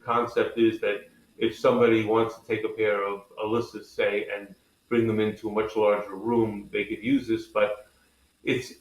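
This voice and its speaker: A man with a fairly low voice explains products in a conversational, enthusiastic way, like a company head in an interview. His voice carries a clearly roomy echo. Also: little pitch movement, normal speed. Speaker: company president being interviewed. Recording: echoey, roomy sound.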